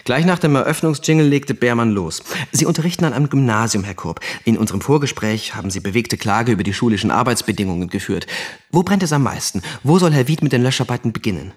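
A man's voice: mid-range voice, lively intonation, fast, breathy edge. A man playing an observant, mildly mocking witness, narrating matter-of-factly in a radio drama. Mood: wry.

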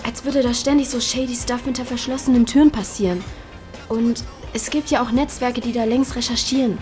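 A woman plays a troubled twenty-year-old in an audio drama. Her voice is high, her talk youthful, slangy and hesitant but determined. Music runs faintly behind it. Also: steady tone, a little mellow, natural voice. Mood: earnest, suspicious. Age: young adult. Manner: casual, youthful slang, halting. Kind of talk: naturalistic audio drama dialogue.

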